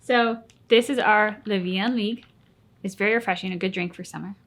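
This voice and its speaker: A woman's voice: medium pitch, steady tone, fairly quick, a bit bright. A woman chatting casually as co-host of a reaction channel. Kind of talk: casual chat.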